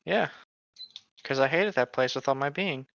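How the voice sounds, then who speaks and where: A man's voice: medium pitch, moderate pace, gravelly, a bit bright, dull audio. A man chatting and reading aloud casually with friends, with a teasing, chatty manner. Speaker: podcast co-host reading aloud. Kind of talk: casual group fan fiction read-aloud with banter.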